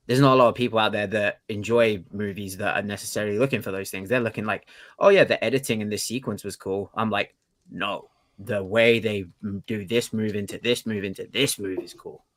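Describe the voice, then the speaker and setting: A man with a slightly low voice speaks as a panelist on a casual discussion podcast. He explains his opinions earnestly and conversationally. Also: fairly even intonation, brisk, a bit bright, no room sound. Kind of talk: casual movie chat.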